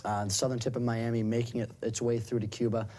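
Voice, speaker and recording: A man's voice: mid-range voice, flat delivery, quick pace, mellow. A young man delivering a broadcast weather forecast in an upbeat presenter style, walking viewers through the map. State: slightly hoarse.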